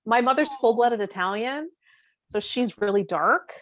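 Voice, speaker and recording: A woman's voice: on the high side, animated, moderate pace, a bit bright, no echo. Woman podcast cohost just chatting, bit lo-fi and dry, no room sound. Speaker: podcast co-host. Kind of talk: casual chat. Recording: slightly dull, lo-fi sound.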